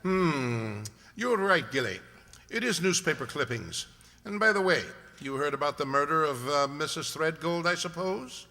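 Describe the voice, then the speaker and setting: A man playing a composed, analytical gentleman detective, measured and polite, in an amateur radio drama. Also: a little high, expressive. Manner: measured, polite, dryly authoritative. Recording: long hall-like reverb.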